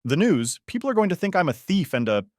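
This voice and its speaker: A man's voice: mid-range voice, lively intonation, moderate pace, no echo, clean studio sound, voice pushed up, close to an everyday voice. A man voicing a distraught, anxious wife who pleads in agitation in an audiobook drama. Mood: agitated, anxious.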